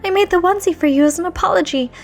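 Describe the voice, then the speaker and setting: An anxious, lovestruck teenage girl, voiced by a woman reading a story. The voice is squeaky and very high, stammering and flustered. Light music sits behind the lines. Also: creaky. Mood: apologetic, rushed. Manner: stammering, rushed confessions. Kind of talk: story character dialogue.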